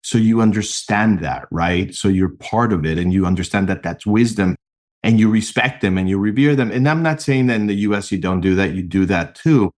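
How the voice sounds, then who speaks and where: A middle-aged man from Mexico, a podcast guest and founder, explaining his work in an animated, earnest way with a few restarts. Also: on the low side, a little fast. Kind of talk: conversational interview answers.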